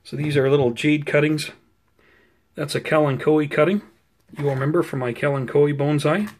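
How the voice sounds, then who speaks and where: A man giving a casual, unscripted plant update in an unhurried, explanatory, conversational way, recorded indoors on a handheld camera. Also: a little high, steady tone, a little mellow. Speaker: host of a plant-growing video channel. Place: indoors at home beside potted seedlings.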